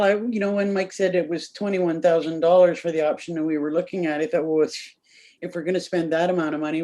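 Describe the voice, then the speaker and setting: A woman on council joins a meeting by video call. Her voice is mellow and low-energy in tone. She starts hesitantly, then speaks candidly and directly. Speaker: council member. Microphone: video conference mic.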